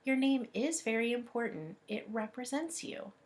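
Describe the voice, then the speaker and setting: A woman's voice: fairly high pitch, normal speed, lightly acted. A woman reading the part of a kindly, precise first-grade schoolteacher, patient and explanatory. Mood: patient, instructive.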